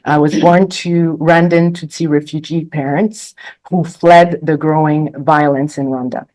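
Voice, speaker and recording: Low-voiced woman reading a prepared speech. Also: steady tone, a little slow, a little mellow, dry recording, low-quality recording. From Quebec, Canada. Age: 40s. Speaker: panelist, book contributor. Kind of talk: prepared speech read to an audience.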